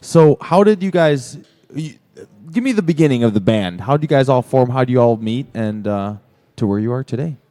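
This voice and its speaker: A man's voice: medium pitch, lively intonation, a little fast, hardly any pauses, dark tone. A man hosting a casual interview, chatting and joking in an informal, slangy, sometimes profane way. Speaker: podcast host interviewing a band.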